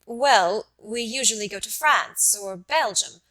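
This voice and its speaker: A woman narrator voices an educated Irish gentleman in an audiobook. He sounds polite but awkward and hesitant, always on the defensive. The voice has a bright, sharp edge. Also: on the high side, a little slow, poor audio. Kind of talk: audiobook character dialogue.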